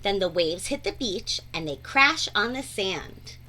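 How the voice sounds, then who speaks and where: A woman reading a rhyming children's picture book aloud in an expressive, deliberate, sing-song way. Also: mid-range voice. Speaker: read-aloud storyteller.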